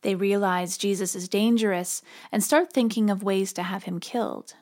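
A woman's voice: mid-range voice, moderate pace, a little mellow. Woman hosting a religious podcast. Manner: informal, explanatory. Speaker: podcast host. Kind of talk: conversational scripted religious commentary.

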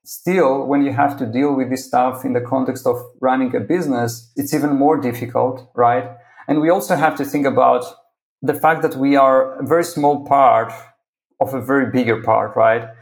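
A man's voice: mid-range voice, fairly even intonation, normal speed, creaky. A man from Greece with a Greek accent, a podcast guest answering thoughtfully and often checking in with "right?". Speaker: agency founder, podcast guest. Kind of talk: conversational interview.

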